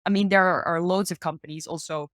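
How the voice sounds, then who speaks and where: A woman's voice: lowish voice, steady tone, fast talker, no room sound, studio quality. A woman explaining sustainability as an expert guest in a casual, upbeat discussion, thinking aloud as she goes.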